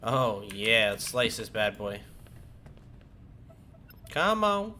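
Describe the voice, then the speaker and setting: A man's voice: fairly low pitch, big pitch swings, drawn-out words. A man doing informal, playful gameplay commentary, chatting to himself and his viewers. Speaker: game streamer. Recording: loud background game noise.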